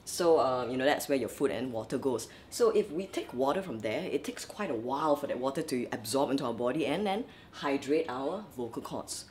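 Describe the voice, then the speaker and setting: A young woman vocal coach, from Malaysia, giving lively, chatty tips in a vlog while her voice is dry and scratchy. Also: a bass voice, fairly quick, hardly any pauses. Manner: lively, chatty, many fillers. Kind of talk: instructional vlog.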